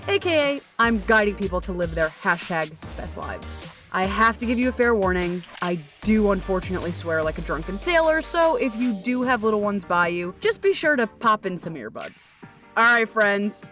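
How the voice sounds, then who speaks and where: A woman's voice: mid-range voice, very animated, normal speed, fairly bright tone, soft background music. A woman in her thirties hosting a podcast, talking in a bubbly, gushing way full of casual slang. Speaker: podcast host.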